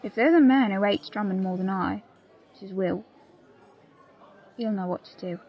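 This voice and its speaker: A woman's voice: medium pitch, normal speed, dull audio, some chatter behind, close to an everyday voice. A woman playing a loyal, plain-spoken pirate crew member in an audio play, telling her story earnestly in old seafaring dialect. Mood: urgent, probing. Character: loyal, plain-spoken pirate crewwoman confiding a grave secret.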